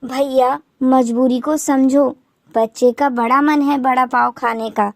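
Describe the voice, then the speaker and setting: A woman voicing a caring elderly mother-in-law bird in a moral-story cartoon, expressive and gently chiding. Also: sits high, slow, dry recording, clean studio sound. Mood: pleading, firm.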